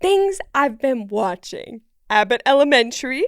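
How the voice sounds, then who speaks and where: A woman co-hosting a podcast, chatting casually in an informal, expressive way. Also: high-pitched, a little slow, no echo, studio quality. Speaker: podcast co-host.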